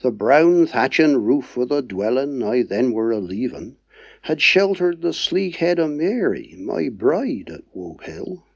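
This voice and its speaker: A man with a Dorset accent reciting dialect poetry in a measured, mournful way. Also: medium pitch, sing-song, creaky, husky, no room sound, muffled recording.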